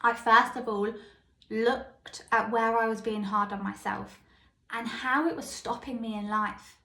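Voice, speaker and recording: A woman with a high, light voice hosting a solo self-help podcast. She tells personal stories and keeps checking in with the listener. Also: fairly even intonation, a little slow, pushed and bright. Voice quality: high, light voice that others called squeaky. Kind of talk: solo motivational podcast monologue. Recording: echoey room.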